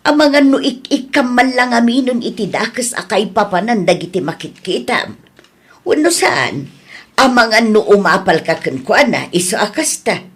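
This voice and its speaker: A woman with an Ilocano accent acting a frank, scolding woman in a family audio drama, in a husky voice. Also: a little high, sing-song, normal speed. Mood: exasperated.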